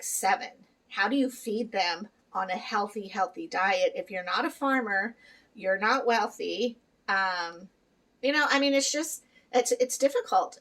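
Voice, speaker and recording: A woman's voice: medium pitch, recorded from a distance, rough recording. A middle-aged woman from Peru talking casually to her viewers about living with kidney disease, earnest and encouraging, with lots of fillers. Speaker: vlogger sharing life with kidney disease. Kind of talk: casual chat to camera.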